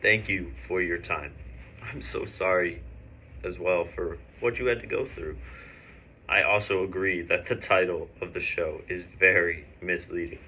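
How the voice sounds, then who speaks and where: A man's voice: low-pitched, steady tone, a little slow, sharp tone, heavily acted. A man acts as a showy male newsreel host. He projects in a polite, sympathetic, presentational manner, with faint noise behind the voice. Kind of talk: mock news presenting.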